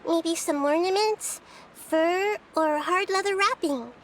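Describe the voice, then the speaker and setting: A woman voicing a gentle, curious young girl deity in a video game, with a thoughtful, earnest, explanatory manner. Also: very high voice, takes it slowly, slight background noise. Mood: gently advising.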